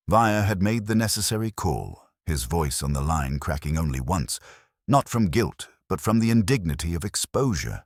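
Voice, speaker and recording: Very deep male detective-story narrator. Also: husky, no echo, studio quality. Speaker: audiobook narrator. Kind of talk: scripted first-person detective fiction narration.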